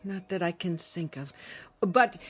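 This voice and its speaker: A woman's voice: lowish voice, lively intonation, measured pace, a bit bright, breathy edge, full character voice. An actress playing a fussing, smothering middle-aged mother in a radio drama, fretful, coaxing and insistent. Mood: concerned, coaxing. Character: fussing, smothering, anxious mother of grown children. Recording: music bed under the dialogue.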